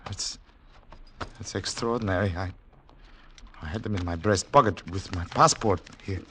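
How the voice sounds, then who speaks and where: An actor playing an earnest, weary government agent in a 1940s radio drama, speaking formally and deliberately, at times halting. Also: male voice, low voice, sharp tone, slight background noise. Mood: alarmed. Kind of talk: 1940s radio drama acting with first-person narration. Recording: 1940s radio broadcast recording.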